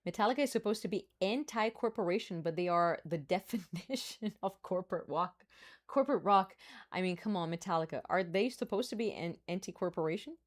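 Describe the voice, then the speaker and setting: A woman's voice: lowish voice, lively intonation, brisk. A young woman with a Brazilian accent chatting casually on a live stream, laughing often and making playful asides.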